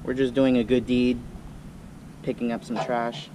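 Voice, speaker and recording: A man in his thirties hosting a fishing vlog outdoors, talking excitedly and joking to viewers into an action camera held at arm's length. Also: mid-range voice, measured pace. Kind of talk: casual outdoor vlog chat. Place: outdoors by a canal and in a car. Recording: outdoor camera audio with clear background noise.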